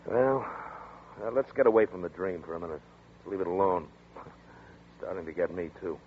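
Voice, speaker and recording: A gruff skeptical detective. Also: male voice, deep voice, lively intonation. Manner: brisk, gruff, no-nonsense. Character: blunt, skeptical police detective. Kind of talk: 1940s radio drama acting. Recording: tinny old radio broadcast.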